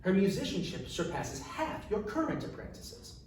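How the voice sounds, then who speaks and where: A man's voice: high voice, huge pitch swings, moderate pace, pitched above the performer's own voice. A man voicing a stern, haughty noblewoman and demanding mother in a storybook reading, cool and insistent. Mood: indignant, insistent. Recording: echoey room, distant mic, faint noise.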